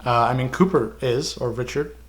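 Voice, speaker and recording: A man hosting a casual reaction discussion, talking in a halting, stunned, exclamatory way. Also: mid-range voice. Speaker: host of a reaction video channel.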